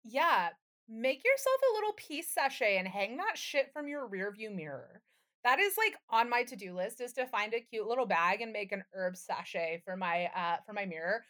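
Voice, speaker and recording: A woman's voice: medium pitch, lively intonation, moderate pace, fairly bright tone. A woman co-hosting a podcast in casual, slangy chat, irreverent and freely swearing. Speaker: podcast co-host.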